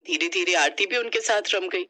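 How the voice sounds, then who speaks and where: A woman's voice: on the low side, a little fast, pushed and bright. A woman storyteller narrating a scripted family tale.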